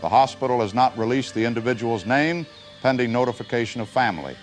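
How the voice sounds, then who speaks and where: A man's voice: mid-range voice, animated, quick pace, natural voice. A man playing a sober, authoritative television news anchor in a scripted audio drama. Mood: sober, formal. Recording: music bed under the voice.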